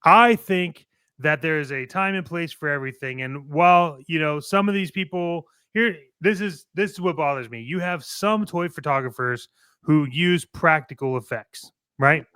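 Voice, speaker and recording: A man's voice: on the high side, moderate pace, pushed and bright, smooth, no room sound. A man co-hosting a show, giving casual opinions in a blunt, emphatic way with some swearing. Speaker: co-host of a toy collecting show.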